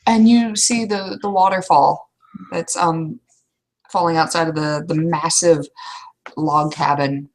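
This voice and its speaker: A woman's voice: deep voice, fairly even intonation, unhurried. A woman improvising narration as a player in a tabletop roleplay session.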